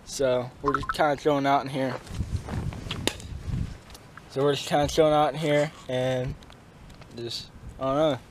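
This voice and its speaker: A man's voice: medium pitch, level tone, measured pace, pauses often. A young man giving a casual, slangy, sweary camping vlog into a handheld camera. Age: young adult. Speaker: vlogger. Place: outdoors on a windy mountain, partly inside a tent. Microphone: handheld camera at arm's length. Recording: noisy wind-blown outdoor camera audio.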